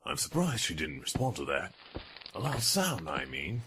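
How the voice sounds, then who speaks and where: A man playing a skeptical, questioning lab colleague in a horror audio drama, with a husky voice. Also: low voice, wildly swooping pitch, moderate pace, noisy background, exaggerated voice. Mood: surprised, skeptical.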